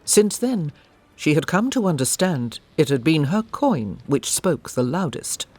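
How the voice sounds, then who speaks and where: A woman narrates a historical audio drama in a literary, scripted style. Her voice is low for a woman, and music plays underneath. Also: big pitch swings, unhurried, a little mellow, husky, no room sound.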